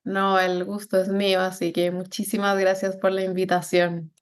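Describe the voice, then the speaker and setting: A woman's voice: medium pitch, level tone, normal speed. Colombian woman with a Chile-blended accent. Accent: mixed Colombian-Chilean Spanish. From Bogotá, Colombia. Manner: thoughtful, many fillers, laughs easily. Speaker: guest, business manager. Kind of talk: casual interview talk.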